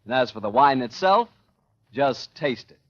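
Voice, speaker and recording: A man working as a 1940s radio announcer, delivering a folksy, emphatic sponsor pitch and scripted chat as host. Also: lively intonation, normal speed, fairly bright tone, no room sound. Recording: muffled vintage radio recording.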